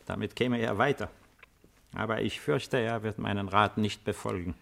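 An actor in a 1950s German radio play playing an old, weary, retired Roman emperor with a deliberate, ironic, theatrical delivery. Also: male voice, lowish voice, gravelly, fairly bright tone. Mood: animated, commanding. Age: older.

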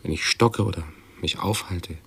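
Fussy, gravelly low-voiced hypochondriac man. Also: slight background noise. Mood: pedantic, halting. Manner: halting, self-correcting, pedantic phrasing. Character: fussy hypochondriac man, pedantic and easily rattled. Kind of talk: radio play acting.

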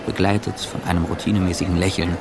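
An actor playing an anxious, sardonic traveller in a radio drama monologue, speaking deliberately and ironically. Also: male voice, sits low, flat delivery, noisy background. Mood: dry, ironic. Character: anxious, sardonic male traveller narrating his own ordeal.

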